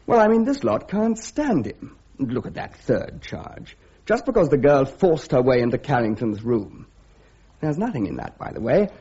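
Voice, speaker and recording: British radio drama: eager, muddle-headed young officer, posh slang, chatty, mellow but very husky voice, lo-fi sound. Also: male voice, mid-range voice, very animated, moderate pace. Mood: gossipy, breezy. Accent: upper-class British. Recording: dull, lo-fi radio drama recording.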